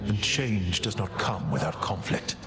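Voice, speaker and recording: A man playing a proud, prophetic titan in a video game, speaking formally and deliberately with theatrical gravity. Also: on the low side, close to an everyday voice. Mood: measured, solemn. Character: proud prophetic male titan, measured and solemn. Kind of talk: video game character acting. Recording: echoing voice under game music.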